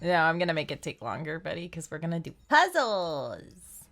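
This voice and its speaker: A woman's voice: low-pitched, very animated, moderate pace, fairly bright tone. A woman streamer giving casual, playful live commentary on a game, with a giggly, teasing manner.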